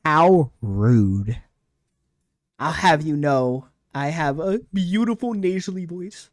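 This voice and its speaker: A livestream host with a lively, bouncing delivery who keeps teasing the guest and breaking into laughter. The voice sits close to a desk mic and sounds dry, with no room echo. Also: mid-range voice, slow pace. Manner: playful, teasing, laughs often. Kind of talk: casual stream chat with a guest.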